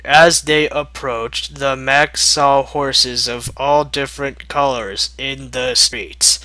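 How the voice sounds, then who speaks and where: A man's voice: medium pitch, fairly even intonation, slow pace, bright, forceful tone, no room sound, low-quality recording. A man reading a fan-written story aloud as a narrator, with a mocking, sarcastic edge. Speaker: fanfiction reader.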